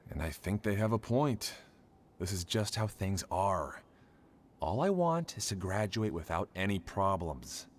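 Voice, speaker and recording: Overworked young male student for a game: lowish voice, expressive, resigned, faint noise. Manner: placating, weary. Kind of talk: video game voice acting.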